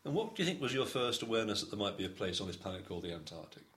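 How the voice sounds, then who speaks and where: A man's voice: lowish voice, sing-song, rapid-fire, a bit bright. Man asking oral history questions. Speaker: interviewer.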